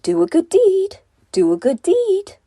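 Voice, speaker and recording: Woman acting enchanted little birds, very high pitch, singsong chant, drawn-out phrases, children's storybook, lively swoops, close dry sound. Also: dry recording, exaggerated voice. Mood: urging, sweet. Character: flock of enchanting singing birds chanting a gentle message.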